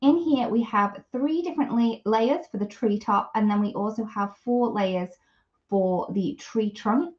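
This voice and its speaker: A woman's voice: a little high, a little slow. A woman with a sniffly cold hosting a casual live product demo, chatty and greeting viewers by name. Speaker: craft live stream host. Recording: slightly dull live stream audio.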